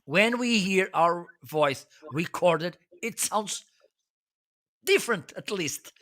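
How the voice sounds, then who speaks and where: Slow, bright-voiced man. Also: high-pitched, expressive. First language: Portuguese. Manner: earnest, checks in with "okay?". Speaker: live show host. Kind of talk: casual live-stream chat.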